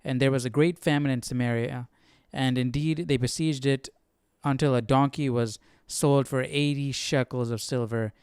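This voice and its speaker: A man reading aloud and commenting casually, thinking out loud in informal, slangy words. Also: mid-range voice, fairly even intonation, moderate pace, few pauses, clean tone, no room sound, clean studio sound. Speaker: host of a live Bible study stream.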